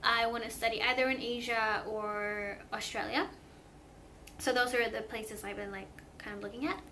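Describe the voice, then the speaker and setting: A woman's voice: a little high, steady tone, moderate pace, pushed and bright, a little hiss or hum. A young woman from Sweden, a native Swedish speaker, talking casually to her camera in an earnest, hesitant way, with many fillers and restarts. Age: young adult. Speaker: vlogger. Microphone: camera mic.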